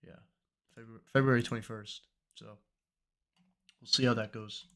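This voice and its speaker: A young man with a cold, slightly hoarse, chatting in a relaxed, rambling way as host of a trading livestream. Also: mid-range voice, moderate pace. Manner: relaxed, rambling, many fillers. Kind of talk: casual livestream chat.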